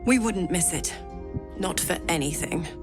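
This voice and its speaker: Gentle loyal companion, fantasy game. Composed, earnest, slightly low voice, music clearly behind. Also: female voice, animated, unhurried. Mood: earnest resolve.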